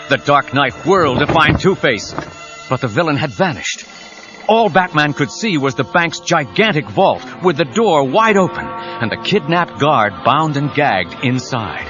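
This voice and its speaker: A man's voice: medium pitch, close to an everyday voice. A man narrating an action audio drama with urgent, suspenseful scripted delivery. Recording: dull cassette audio with dramatic music.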